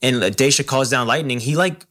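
A woman's voice: rumbling low, fairly quick, fairly bright tone. A woman co-hosting a podcast in casual chat, talking in an enthusiastic, rambling way. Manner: enthusiastic, rambling, laughs easily. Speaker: podcast co-host.

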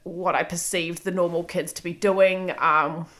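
A woman in her thirties with a clear New Zealand accent talks through a solo podcast episode. Her voice sits a little low but has a bright, forward edge. She speaks casually and pokes fun at herself, with plenty of ums. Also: steady tone, measured pace. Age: 30s. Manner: chatty, self-deprecating, frequent ums and laughs. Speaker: podcast host.

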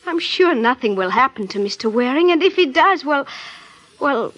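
An actress playing an anxious, devoted, persistent sweetheart with an earnest manner, in 1940s radio drama style. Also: female voice, sits high, lively intonation, normal speed, fairly bright tone, muffled recording. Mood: pleading, persuasive. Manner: pleading, earnest. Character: anxious, devoted, persistent woman pleading with her lover.

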